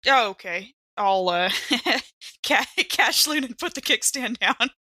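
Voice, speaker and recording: A woman's voice: fairly high pitch, lively intonation, a little slow, sharp tone, no echo, studio quality. A woman chatting casually and thinking aloud as a player in a tabletop role-playing game.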